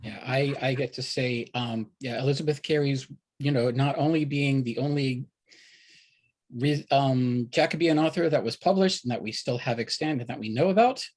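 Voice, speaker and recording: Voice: mid-range voice, moderate pace, darkish tone. Informal, conversational host voice. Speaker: host of an online event series. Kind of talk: casual hosting and announcements.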